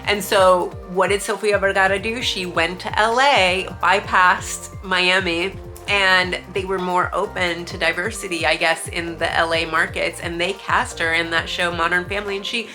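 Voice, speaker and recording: Bright-voiced American woman in her forties. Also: lowish voice, fairly even intonation, moderate pace, faint music behind. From United States. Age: 40s. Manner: chatty, rambling, frequent restarts and asides. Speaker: vlogger. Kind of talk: casual personal storytelling.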